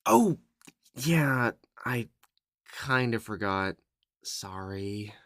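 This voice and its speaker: A woman voicing a bubbly, friendly, excitable young creature with an exuberant, chatty manner, in an ASMR audio roleplay. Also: extremely low pitch, drawn-out words, no room sound, exaggerated voice.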